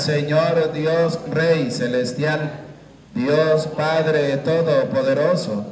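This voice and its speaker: A man in his thirties, a priest leading Mass into a handheld microphone in a small chapel, with a slow, measured liturgical cadence. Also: a little high, a little mellow. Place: small chapel with curtained walls. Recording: echoey chapel room.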